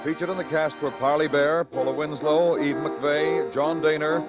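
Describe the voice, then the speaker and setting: A man announcing in formal, measured 1950s radio style, reading scripted credits. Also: on the high side, steady tone. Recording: old radio recording, loud music behind.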